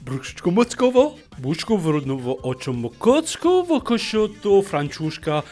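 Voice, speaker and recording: A man's voice: high voice, sing-song, darkish tone, faint music behind. A man voicing a made-up foreign guest who babbles in invented Slavic-sounding words. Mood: babbling eager. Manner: sing-song gibberish, drawn-out, swooping.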